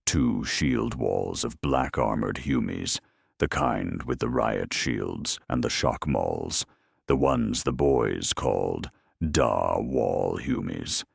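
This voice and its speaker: Guy doing grim battle narration, super deep and husky, unhurried, dryly funny, really dry close sound. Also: no echo. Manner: grave, measured storytelling with wry deadpan humour. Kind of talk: dramatic scripted narration of a grimdark war story.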